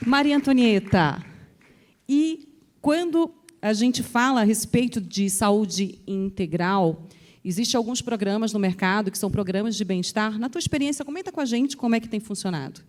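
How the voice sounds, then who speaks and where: A woman moderating a panel, thanking a speaker and putting a question to the next panelist in a measured way. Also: medium pitch, a little mellow.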